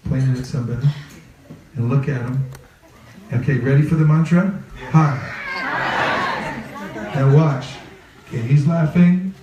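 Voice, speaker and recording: A man teaches a group in a playful, interactive way, putting questions to them and repeating key phrases. His voice is soft-edged and mellow. It comes from some distance in a very echoey room. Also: medium pitch, a little hiss or hum. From California. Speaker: religious teacher leading a training talk. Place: reverberant room with a live group.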